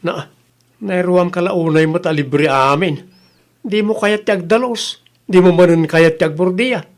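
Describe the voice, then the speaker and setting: A man's voice: high-pitched, unhurried. A man with a Filipino accent playing an exasperated, nagging village father in a comedy skit. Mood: scolding. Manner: nagging, reproachful. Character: exasperated, scolding village father, fed up with freeloaders. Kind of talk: Ilocano comedy skit acting.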